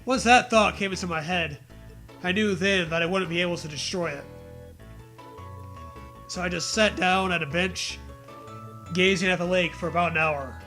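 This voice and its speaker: High-voiced man, mocking story reader, profane casual riffing, bright tone, music behind. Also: moderate pace, long pauses. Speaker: co-host reading a story aloud with mocking commentary. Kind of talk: comedic read-aloud with casual riffing.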